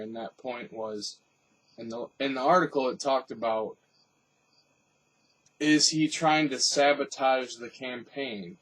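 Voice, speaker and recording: A man's voice: medium pitch, a bit bright, distant mic, low-quality recording. A man giving off-the-cuff news commentary, rambling and thinking aloud. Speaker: commentator and host.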